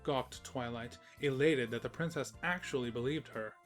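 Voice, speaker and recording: A man's voice: medium pitch, moderate pace, loud music behind the voice. A man narrating a scripted comic story in a storytelling manner with wry asides.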